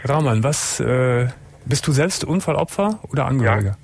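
A man hosting a late-night radio call-in show, asking a caller calm, probing questions. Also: medium pitch, normal speed, gravelly, a little hiss or hum. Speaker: radio talk show host.

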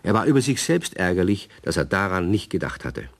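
An actor as the narrator of a children's radio play, telling the story in scripted storybook style. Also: male voice, low voice, big pitch swings, a little fast, gravelly, full character voice. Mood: wry, storytelling.

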